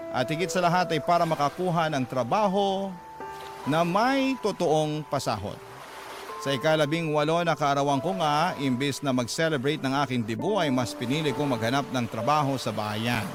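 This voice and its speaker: A man's voice: fairly high pitch, close to an everyday voice. A man narrating a radio drama as a young woman looking back wistfully on her family. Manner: wistful, reflective.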